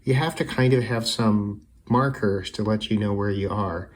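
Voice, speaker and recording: A man's voice: on the low side, normal speed. A man giving a casual, unscripted hobby demonstration, thinking aloud in a conversational way. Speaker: radio hobbyist and video maker. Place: indoors at a desk with a radio. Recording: noisy room with radio sound.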